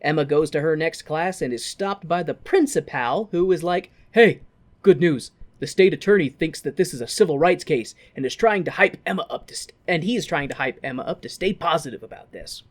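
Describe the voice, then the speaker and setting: Animated American man recapping casually. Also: fairly high pitch, brisk. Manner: sweary, informal, wry asides. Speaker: podcast co-host. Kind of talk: casual film recap with commentary.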